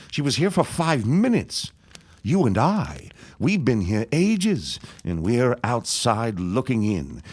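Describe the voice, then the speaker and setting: An actor playing a scheming, vengeful elder reverend in a period radio drama, speaking in a pompous, florid, sneering manner. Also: male voice, fairly low pitch, dry recording, heavily acted. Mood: scornful.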